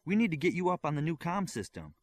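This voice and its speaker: A man's voice: a little high, very animated, voice pushed up. A man acting a concerned, commanding superhero. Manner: questioning, brisk.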